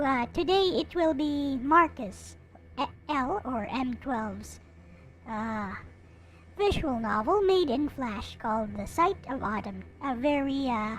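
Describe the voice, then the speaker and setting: A woman hosting a live stream in casual chat, rambling along with frequent hesitant pauses. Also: high voice, animated, a little hiss or hum, poor audio.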